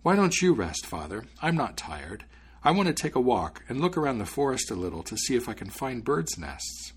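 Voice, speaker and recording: A man's voice: mid-range voice, big pitch swings, normal speed, slightly rough. A man voicing a cheerful, fearless young student in a storybook, with a bold, casual, lilting delivery. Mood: cheerful, curious.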